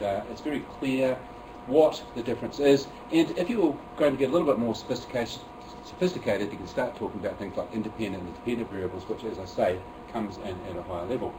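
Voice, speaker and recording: A man's voice: lowish voice, recorded from a distance, background noise. A man with a New Zealand accent presenting a teaching method to a room of fellow teachers, chatty and self-deprecating, with many fillers and restarts. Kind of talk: workshop presentation. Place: room with an audience.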